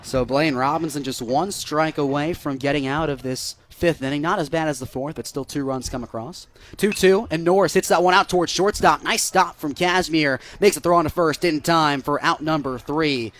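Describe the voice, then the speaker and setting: Higher-pitched American man calling baseball. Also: steady tone, normal speed, a bit bright. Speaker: play-by-play broadcaster. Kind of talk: live baseball radio play-by-play.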